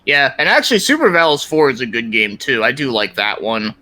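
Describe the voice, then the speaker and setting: Bright-voiced man chatting casually. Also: mid-range voice, very animated, a little fast. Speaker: podcast guest, video game reviewer. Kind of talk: casual conversation about video games.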